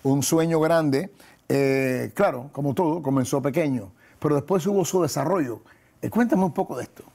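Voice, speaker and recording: A man in his sixties hosting a conversational television interview in a studio, with a husky, gravelly voice. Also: a little high, moderate pace, mellow. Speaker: talk show host, pastor. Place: TV studio set.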